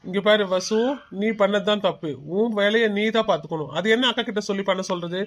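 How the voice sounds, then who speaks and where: A man's voice: sits high, fairly even intonation, brisk. A man voicing a stern father who scolds his family in a cartoon. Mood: angry. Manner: shouting and scolding.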